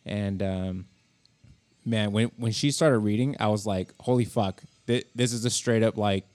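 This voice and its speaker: A man's voice: on the low side, measured pace, a little mellow. A man hosting a podcast in casual, informal and enthusiastic chat, swearing freely. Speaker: podcast host and filmmaker.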